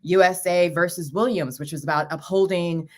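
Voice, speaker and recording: A woman hosts a talk show in a chatty, casual way. She drops self-deprecating asides as she moves between topics. Her voice sits fairly low for a woman. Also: steady tone, normal speed.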